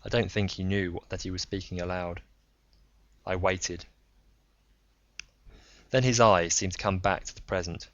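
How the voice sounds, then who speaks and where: A man's voice: deep voice, fairly even intonation, unhurried, pauses often, no room sound. A man narrating a science fiction audiobook as a scripted reading. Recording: slightly dull close dry recording.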